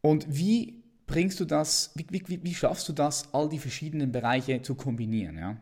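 A man's voice: a little high, normal speed, a little mellow, breathy edge. A man interviewing a guest in a casual talk, enthusiastic and often restarting his words.

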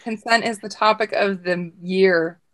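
A woman's voice: medium pitch, fairly even intonation, a little slow. A young adult woman co-hosting a podcast in casual chat, rambling and laughing often. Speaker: podcast co-host.

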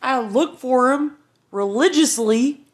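A woman's voice: high-pitched, takes it slowly. A woman from Virginia with a Southern accent, co-hosting a casual family podcast and teasing bluntly. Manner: teasing, blunt, swears, calls people bud. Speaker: podcast co-host, mother.

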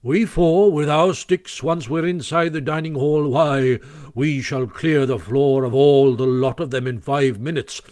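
A man voicing a gruff, blunt older badger in a storybook, speaking emphatically and insistently with rustic grammar. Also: mid-range voice, measured pace, a little mellow, lightly acted. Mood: confident, blunt.

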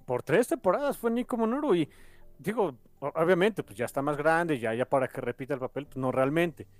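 A man's voice: fairly high pitch, expressive, a little fast, no room sound, faint music behind. A man with a Mexican accent hosting a podcast, chatting casually in an enthusiastic, rambling way. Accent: Mexican Spanish. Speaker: podcast host.

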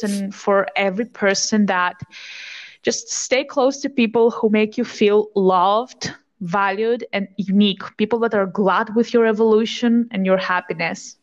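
A woman's voice: medium pitch, highs cut off. A twenty-one-year-old woman, a podcast guest, speaking earnestly and reflectively in casual chat.

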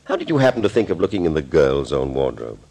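An actor playing a composed, thoughtful doctor in an old radio thriller, speaking in a measured, deliberate way. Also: male voice, extremely low pitch, sing-song, a little mellow, full character voice. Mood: pondering.

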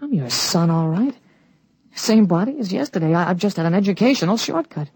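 A man playing a teenage boy with an adult's memories, explaining things calmly and matter-of-factly in a 1940s radio drama. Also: high voice, a little fast, husky, no echo, exaggerated voice. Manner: calm, matter-of-fact explaining. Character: teenage boy with an adult mind, calm and knowing.

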